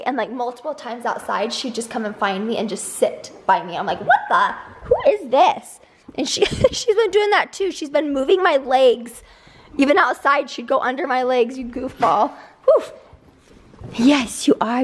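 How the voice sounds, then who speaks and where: A young American woman with a slightly hoarse voice, chatting casually to a handheld camera at home as a family vlogger. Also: sits high. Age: young adult. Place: kitchen and living room at home. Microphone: camera held at arm's length.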